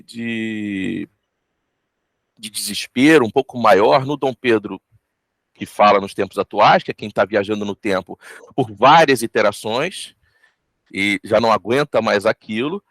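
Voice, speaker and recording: A man's voice: mid-range voice, a bit bright, no echo. A Brazilian man, a voice actor, answering an interview reflectively and searching for his words.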